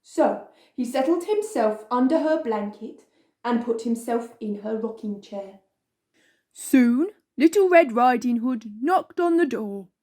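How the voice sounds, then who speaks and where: Woman as jolly, high-pitched children's storyteller. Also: expressive, unhurried, mellow. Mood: storytelling. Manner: exaggerated, giggly, playful. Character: Gruff, jolly childlike storyteller character who loves cake.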